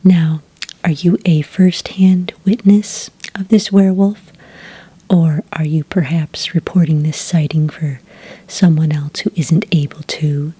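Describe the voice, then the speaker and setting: A woman playing a calm, polite agent who takes sighting reports in a soft-spoken ASMR roleplay, breathy and whispery, with gentle, patient questioning. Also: low-pitched, measured pace, heavily acted, low-quality recording. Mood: politely inquiring.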